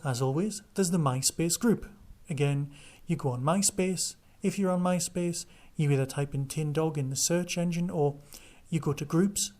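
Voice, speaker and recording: A man presents his podcast in a casual, conversational style, talking through news and reviews on his own. His voice sits a little higher than average for a man. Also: warm tone. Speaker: podcast host. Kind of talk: casual solo podcast review and listener chat.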